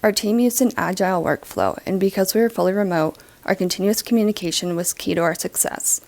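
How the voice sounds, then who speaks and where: A woman's voice: medium pitch, fairly quick, creaky, darkish tone. A woman giving a scripted presentation as a project team member.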